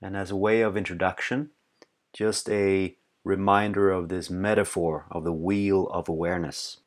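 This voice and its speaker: A man leading a guided meditation as a teacher, calm and unhurried, pausing between short, soothing phrases. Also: low-pitched.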